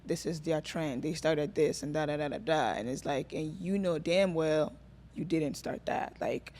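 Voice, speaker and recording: A woman's voice: low voice, normal speed, darkish tone. A woman from Nigeria, a guest in a casual podcast interview, talking in a rambling, chatty way full of fillers. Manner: chatty, rambling, filler-heavy, laughs often.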